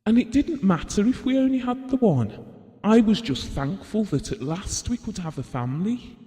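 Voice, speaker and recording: Actor as a wistful devoted husband, mellow, echoing. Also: male voice, sits high, lively intonation, moderate pace. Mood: wistful, tender. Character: Bill, devoted, wistful middle-aged husband reminiscing on his marriage. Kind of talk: theatrical audio drama monologue and dialogue. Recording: long hall-like reverb.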